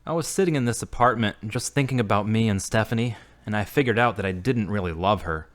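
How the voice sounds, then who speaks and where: A man playing an earnest, moody man returned to his faith, measured and subdued, in an audio drama. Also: lowish voice, natural voice. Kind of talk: hobby audio drama acting.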